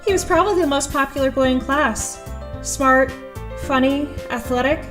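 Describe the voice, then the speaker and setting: A woman's voice: sits high, normal speed, fairly bright tone, faint music behind. A woman playing an awkward, earnest teenage schoolgirl who narrates her thoughts in an audio drama, a little hesitant. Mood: curious, reflective.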